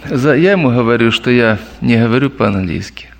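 A man telling stories and answering questions at a church meeting, lively and anecdotal, addressing the listeners as brothers. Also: medium pitch, a little fast, gravelly.

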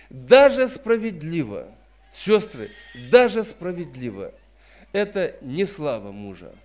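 A man in his fifties preaching a sermon in an emphatic, admonishing way, repeating phrases for stress. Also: sits high. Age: 50s. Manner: admonishing, didactic.

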